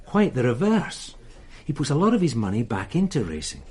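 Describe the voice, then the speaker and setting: An actor playing a tired, methodical male police sergeant in a radio drama, speaking in a measured way. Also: mid-range voice, huge pitch swings, darkish tone, slightly rough. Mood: weary.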